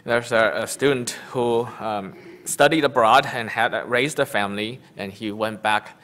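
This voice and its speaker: A middle-aged man from Taiwan, a native Taiwanese speaker, interpreting a sermon into English at a church pulpit with halting, self-correcting phrasing. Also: medium pitch, a bit bright. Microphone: lectern microphone.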